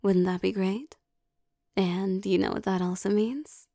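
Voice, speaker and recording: A woman voicing a possessive, teasing young vampire girlfriend in an intimate, soft ASMR roleplay, coaxing with hesitant pauses. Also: mid-range voice, fairly even intonation, dry recording, studio quality. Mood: affectionate, probing.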